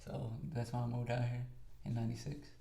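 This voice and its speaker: Young man from Texas, gravelly voice, roomy echo, relaxed talkative interview chat. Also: medium pitch, fast. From Texas, United States. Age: 20s. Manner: talkative, easygoing, many fillers. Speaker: podcast co-host and guest.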